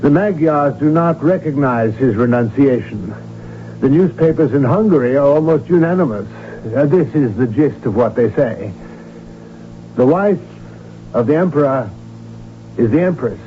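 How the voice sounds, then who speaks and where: An actor as a scheming, deferential court prince. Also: male voice, mid-range voice, animated, normal speed, a little hiss or hum, lightly acted. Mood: solemn, urgent. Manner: formal, deferential, pressing. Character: scheming, obsequious, insistent prince at imperial court. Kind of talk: 1970s radio drama acting. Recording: muffled, humming old radio broadcast recording.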